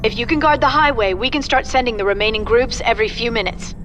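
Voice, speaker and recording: An actress playing an earnest, worried colony doctor in a video game cinematic, speaking formally. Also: female voice, on the high side, fast, a bit bright, muffled recording, faint music behind, lightly acted. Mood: urgent, worried.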